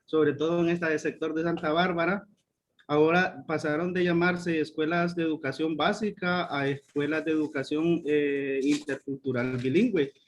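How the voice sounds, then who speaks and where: Un hombre con acento hondureño, maestro, que expone en clase con dudas y muletillas frecuentes. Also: male voice, fairly high pitch, fairly even intonation, moderate pace. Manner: hesitant, many fillers. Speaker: student teacher.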